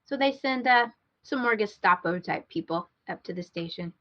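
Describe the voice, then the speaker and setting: A woman's voice: medium pitch, expressive, moderate pace, highs cut off. A woman co-hosting a podcast in casual, unscripted chat, hesitating and repeating words for emphasis as she gives a recommendation. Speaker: podcast co-host giving a recommendation.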